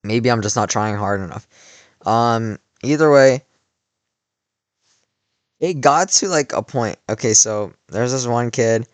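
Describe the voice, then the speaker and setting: A young man in his late teens rambles through a story, leaning on "like" and restarting his sentences. His voice sounds slightly worn, and his pitch sits at an average level. The recording is dry and close, with no room sound. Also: slow pace, long pauses, no echo. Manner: rambling, many "like" fillers and restarts. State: voice worn from cheering. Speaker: vlogger. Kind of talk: casual storytelling.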